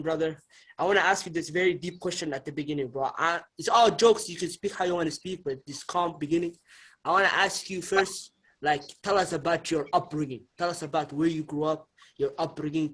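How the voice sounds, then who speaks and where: A man's voice: a little high, a bit bright, rough recording. Laid-back young man hosting a podcast. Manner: laid-back, fills with "bro" and "you know". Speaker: podcast host. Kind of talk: casual podcast chat.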